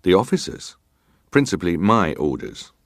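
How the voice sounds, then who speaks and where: Deep-voiced, clipped ship's officer. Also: male voice, huge pitch swings, normal speed, gravelly, no echo, clean studio sound, exaggerated voice. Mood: dutiful, firm. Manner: clipped, formal, matter-of-fact. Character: British ship's officer testifying, terse, guarded, formally respectful. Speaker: actor reading a witness's testimony. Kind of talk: dramatised reading of hearing testimony.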